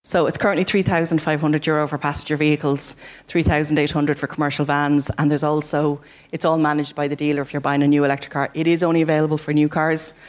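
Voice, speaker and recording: Energy agency person rattling off grant details, fast and flat. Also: mid-range voice. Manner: brisk, explanatory. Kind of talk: explaining grants in an interview.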